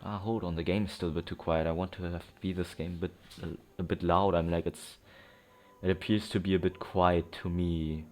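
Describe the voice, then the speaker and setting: A man's voice: low-pitched, fairly even intonation, a little fast. A man hosting a let's play with casual, unscripted, rambling commentary, often repeating himself. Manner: rambling, self-repeating, informal. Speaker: gaming video host. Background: game music.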